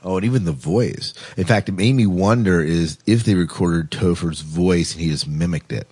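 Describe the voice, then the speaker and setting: Deep-voiced enthusiastic man, podcast co-host. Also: moderate pace, a little mellow, slightly rough, no room sound. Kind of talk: casual chat about sci-fi TV.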